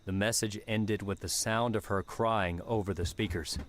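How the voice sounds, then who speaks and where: A man's voice: lowish voice, fairly even intonation, moderate pace, very noisy. A man reading dramatic scripted fiction as an audiobook narrator.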